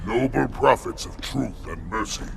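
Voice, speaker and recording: An actor playing a brutish, menacing alien warrior chieftain in a video game, gruff and contemptuous. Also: male voice, mid-range voice, animated, measured pace. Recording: loud game soundtrack behind.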